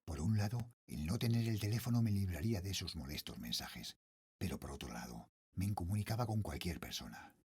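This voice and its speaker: A man playing a frightened father and night guard, telling his horror story in a Spain accent with tense, dramatic delivery and hushed phrase endings. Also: deep voice, lively intonation, fairly quick. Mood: haunted, fearful. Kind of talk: scripted first-person horror narration, audio drama.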